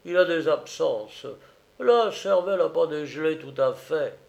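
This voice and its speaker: Man playing a loud, blustering old drum-major. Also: lively intonation, unhurried, gravelly. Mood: hearty, dismissive. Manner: booming, bombastic, colloquial military bluster.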